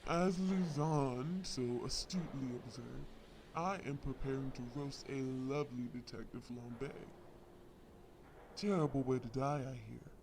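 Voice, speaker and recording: A man's voice: medium pitch, unhurried, noisy background, natural voice. Man playing a gloating, theatrical crime villain. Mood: gloating, mocking. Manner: taunting, theatrical, mock-polite. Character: gloating, theatrical male crime villain, possessive, mock-sweet. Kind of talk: scripted audio roleplay acting.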